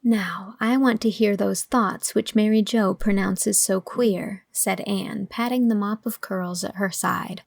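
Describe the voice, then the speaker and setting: A woman's voice: mid-range voice, steady tone, unhurried, vocal fry, hardly any pauses, no echo, clean studio sound. A woman reading scripted audiobook narration as the storyteller, voicing bits of dialogue along the way. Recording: music under the voice.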